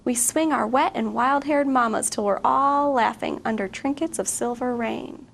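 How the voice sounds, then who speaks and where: A woman's voice: high voice, moderate pace, vocal fry, a bit bright. A woman reading a picture book aloud expressively to children. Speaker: guest story reader. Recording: faint background noise.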